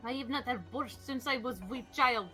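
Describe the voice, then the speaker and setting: A woman with a high, piercingly bright voice who chats excitably and swears freely. She slips into a joke Russian accent with the group, and faint music plays behind. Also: normal speed. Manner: loud, excitable, profane. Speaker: player.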